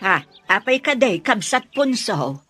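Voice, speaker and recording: A woman's voice: mid-range voice, very animated, measured pace, bright, forceful tone, slightly rough, faint music behind, exaggerated voice. A woman acting in a radio drama as a worried, aggrieved villager, earnest and plaintive. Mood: dismayed.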